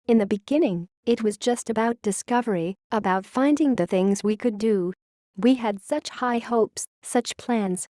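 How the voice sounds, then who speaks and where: A woman playing a wistful, grave founding scientist who confesses a secret history in a measured, literary audio-log monologue. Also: on the high side, dark tone, no echo, clean studio sound, lightly acted. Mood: reflective, grave.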